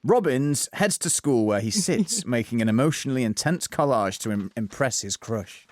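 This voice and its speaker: Animated male narrator of a comic drama. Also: medium pitch, normal speed, no echo.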